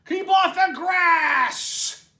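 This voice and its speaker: A man voicing a grumpy, prickly cactus in a children's storybook, with loud, cranky delivery. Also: very high voice, extremely slow, gravelly, pitched above the performer's own voice, full character voice. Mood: angry, yelling. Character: grumpy, prickly, lonely male cactus who yells. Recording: roomy echo, distant microphone.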